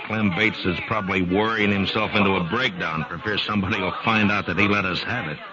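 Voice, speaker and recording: A man playing a calm frontier marshal. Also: deep voice, a crowd in the background, lightly acted. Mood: steady. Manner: calm, measured, plainspoken. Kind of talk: 1950s radio drama acting. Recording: dull vintage radio recording.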